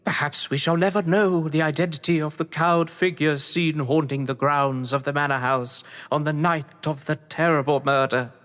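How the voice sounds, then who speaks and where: An actor playing a whimsical, scheming crime-novelist lord of the manor, with a British accent and a pompous, florid delivery, in a stage-thriller audio drama. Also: male voice, on the high side, fairly even intonation, moderate pace, hardly any pauses, a bit bright, natural voice. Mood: melodramatic. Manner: florid, pompous, playfully grandiose. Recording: long hall-like reverb.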